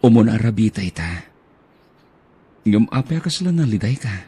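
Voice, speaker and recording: A man's voice: on the low side, big pitch swings, moderate pace, mellow, husky, full character voice. A man playing a devoted young suitor in a radio drama, speaking tenderly and earnestly with a Filipino accent. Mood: nervous, tender.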